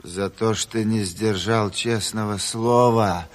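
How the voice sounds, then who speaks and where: A man's voice: lowish voice, fairly even intonation, slow pace, in a large hall. An actor playing a stern, bitter revolutionary chairman in a Soviet radio drama, severe and reproachful.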